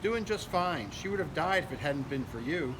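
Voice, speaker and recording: A man's voice: on the high side, animated, moderate pace, close to an everyday voice. A man voicing a folksy, wily, friendly working-class character from a novel, talking in loose, cajoling colloquial speech. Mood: friendly, grateful. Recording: noisy outdoor ambience with vehicles.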